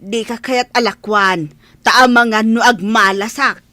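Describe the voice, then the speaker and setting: A higher, unhurried voice as a Filipina fish peddler. She is polite and coaxing in radio drama style, recorded very dry. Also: steady tone, sharp tone, no echo.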